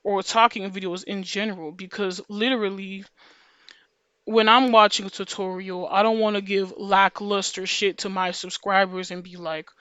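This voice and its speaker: A woman whose voice sits deeper than most women's, yet comes across smooth and bright. She talks to her viewers in a casual, slangy ramble, restarting and repeating phrases as she goes. The recording is close and dry. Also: little pitch movement, moderate pace, no room sound. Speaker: video creator.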